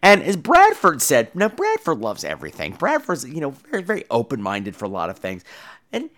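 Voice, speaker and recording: A man's voice: mid-range voice, a little fast. A man hosting a podcast in a casual monologue, telling stories in a theatrical, catty and blunt way. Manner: theatrical, catty, animated storytelling; profane, blunt.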